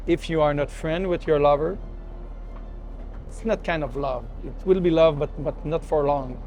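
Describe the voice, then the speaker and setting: Higher-voiced man in his forties. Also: moderate pace, a little mellow, soft background music. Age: 40s. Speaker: interviewee. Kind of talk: reflective, casual interview talk.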